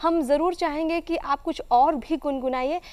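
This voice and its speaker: A woman works as a television interview host. Her voice sits very high in pitch. She talks in effusive, ornate sentences as she guides her guest along. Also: fairly even intonation, normal speed, darkish tone. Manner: effusive, flowery phrasing. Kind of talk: broadcast interview hosting.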